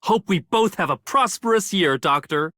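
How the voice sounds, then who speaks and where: Lively, low-pitched working woman. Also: normal speed, no echo, studio quality. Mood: upbeat. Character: hard-working, optimistic tradeswoman hoping for prosperity. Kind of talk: scripted game character greeting.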